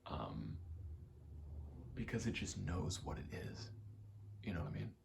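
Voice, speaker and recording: A soft-spoken man giving a hesitant, self-deprecating ASMR ramble. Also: low-pitched, big pitch swings, a little slow, creaky, background noise. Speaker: video game ASMR creator.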